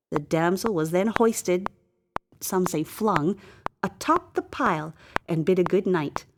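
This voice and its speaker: Wry woman narrating a mock-epic storybook tale. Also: medium pitch. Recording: clear background noise.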